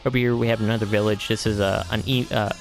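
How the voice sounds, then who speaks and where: A man's voice: fairly low pitch, level tone, quick pace, creaky, soft background music. A man giving a casual, rambling game tour as video host, hesitant and often trailing off into vague asides.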